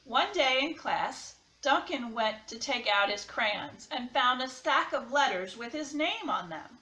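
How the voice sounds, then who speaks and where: A woman storyteller and teacher addressing children with simple, deliberate delivery, introducing and reading a picture book. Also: sits high, expressive, bright, forceful tone, distant mic, a little hiss or hum. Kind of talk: children's read-aloud and lesson introduction. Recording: roomy, echoing sound.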